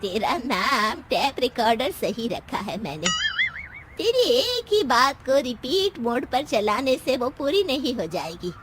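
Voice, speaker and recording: A woman playing a bossy, fearless elderly mother-in-law in an animated story, sassy and scolding. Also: sits high, slow pace, very noisy, heavily acted. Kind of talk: Hindi animated story dialogue.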